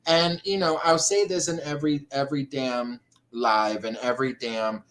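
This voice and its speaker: A man's voice: mid-range voice, fairly even intonation, normal speed, fairly bright tone. A man hosting a casual livestream chat, effusive and gushing, calling guests honey and girl. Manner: chatty, effusive, calls people honey and girl. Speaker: livestream host.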